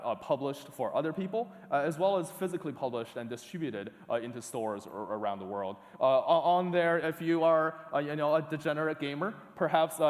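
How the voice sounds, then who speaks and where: A young man from northeast China, a conference speaker giving a talk with slides, halting and full of uh fillers and restarts. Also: mid-range voice. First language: Chinese. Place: large auditorium. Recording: long hall reverb.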